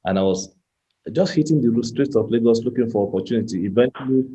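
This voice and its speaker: A man's voice: medium pitch, fast, warm tone, husky. A Nigerian man in his fifties giving a chatty personal talk as a guest speaker, often repeating words and restarting his sentences. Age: 50s. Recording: muffled lo-fi sound.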